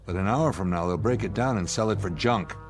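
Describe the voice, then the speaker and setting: An actor plays a male spaceship commander with a lowish voice, blunt and commanding. He speaks plainly with sardonic scorn, in radio drama style over some music. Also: a little fast, close to an everyday voice. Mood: scornful, stern.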